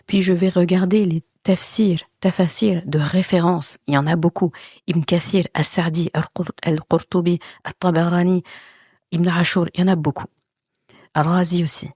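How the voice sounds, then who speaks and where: A woman's voice: fairly low pitch, steady tone, moderate pace, a little mellow, breathy edge, dry recording, clean studio sound. A woman hosting a podcast and giving a religious teaching talk, earnest and reassuring as she speaks straight to the listener. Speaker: podcast host.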